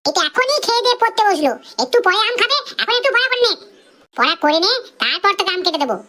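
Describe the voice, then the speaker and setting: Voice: very high-pitched, sing-song, fast, sharp tone, slight background noise, exaggerated voice. A performer voicing a scolding, exasperated mother who nags her little boy in a Bengali comedy cartoon. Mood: stern. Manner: bossy, scolding, nagging.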